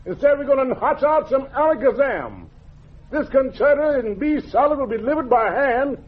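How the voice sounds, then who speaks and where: 1940s radio emcee guy, really high voice, jive slang, dull old recording. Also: very animated, normal speed. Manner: slangy, playful showman patter. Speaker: radio show host, announcer.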